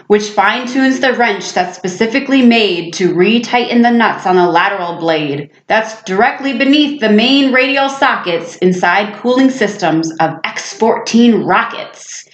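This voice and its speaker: A woman voicing an excitable, boastful schoolboy with big dreams in a storybook, eager and grandiose as if making a speech. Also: mid-range voice, lightly acted. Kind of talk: storybook read-aloud. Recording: echoey room, slightly muffled sound.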